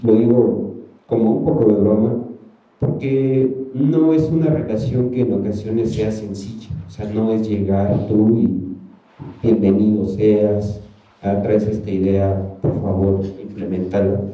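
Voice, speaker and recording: A man's voice: lowish voice, fairly even intonation, a little slow, warm tone, soft background music. A man with a Mexican Spanish accent whose first language is Ayuuk, speaking as an artist on a panel in a loose, colloquial, rambling way with many fillers. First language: Ayuuk (Mixe). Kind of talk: casual panel talk. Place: museum talk hall. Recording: roomy, distant, dull sound.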